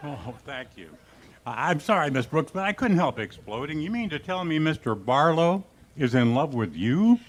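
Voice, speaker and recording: A man's voice: on the high side, big pitch swings, moderate pace. A man playing a naive, earnest, easily flustered teacher with a pedantic streak in an old-time radio comedy. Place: live stage performance at a radio history event.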